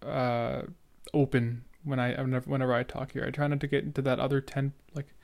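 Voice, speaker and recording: A man's voice: medium pitch, little pitch movement, fairly quick, vocal fry. A young man who stutters, talking casually and earnestly as he reacts to his own old podcast episode. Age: young adult. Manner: earnest, rambling, filler-heavy.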